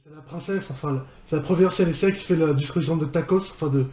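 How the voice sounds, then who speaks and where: High-ish, mellow man rambling in echoey room. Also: fast talker. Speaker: reaction video host and fan dubber. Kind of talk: casual live reaction commentary.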